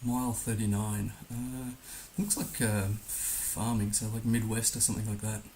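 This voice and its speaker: Male game streamer, hoarse and gravelly, unhurried thinking-out-loud delivery, faint hiss on the track. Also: fairly low pitch, level tone, poor audio. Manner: musing, hesitant, thinking aloud. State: hoarse, recovering from being under the weather. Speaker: livestream host playing a geography guessing game. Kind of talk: casual live game commentary. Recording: noticeable background hiss.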